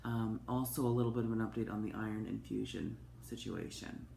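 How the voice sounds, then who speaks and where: Hoarse, gravelly-voiced woman. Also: rumbling low, fairly even intonation, brisk, mellow. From Canada. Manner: chatty, open, with frequent ums and asides. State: hoarse from a cold. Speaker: vlogger. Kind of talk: casual personal update to camera. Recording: echoey room with faint noise.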